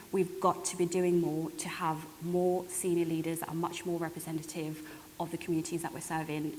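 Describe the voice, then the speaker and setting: A woman's voice: fairly low pitch, darkish tone. A woman speaking as a panellist in a discussion, often correcting and repeating herself as she goes. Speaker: conference panellist. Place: reverberant indoor event hall. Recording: echoey hall with clear background hiss.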